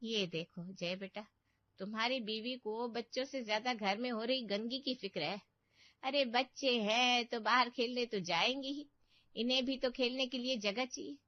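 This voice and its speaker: High-voiced meddling older aunt, acted by a woman. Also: moderate pace, no echo, highs cut off, lightly acted. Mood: reproachful. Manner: scolding, pitying, boastful. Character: meddling, nagging older aunt stirring family trouble. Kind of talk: dramatic Hindi cartoon story acting.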